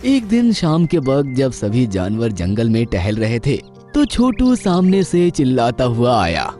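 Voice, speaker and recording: A man narrating a scripted children's story in an expressive storytelling manner. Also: mid-range voice, unhurried, soft background music.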